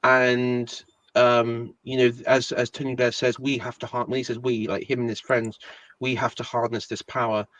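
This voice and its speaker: A man's voice: medium pitch, level tone, normal speed, a bit bright, dry recording, poor audio. A man co-hosting a casual online political chat, rambling with many fillers like um and you know.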